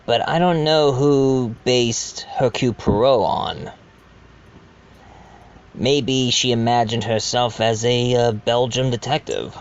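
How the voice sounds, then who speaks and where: A man's voice: medium pitch, steady tone, slow pace, smooth, dull audio. A man playing a wealthy doctor who muses theatrically as a murder victim in a fan audio drama. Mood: musing.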